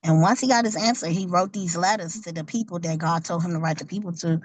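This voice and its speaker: An earnest woman describes her faith-based outreach on a video call. Her voice is lowish and very dry, with no room sound, and she repeats key points for emphasis. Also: quick pace, dry recording. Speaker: ministry outreach worker, author. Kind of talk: networking pitch.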